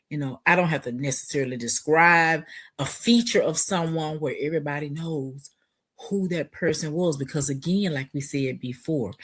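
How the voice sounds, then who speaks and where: A woman's voice: low-pitched, slightly rough. A woman author talking in an earnest, reflective podcast conversation, asking herself searching questions. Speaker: author and guest. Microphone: remote video call.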